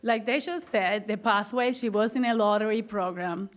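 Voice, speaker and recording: A woman's voice: medium pitch, normal speed. A middle-aged woman with a strong Puerto Rican accent, giving an earnest, grateful acceptance speech as an honored teacher, often restarting her phrases. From Puerto Rico.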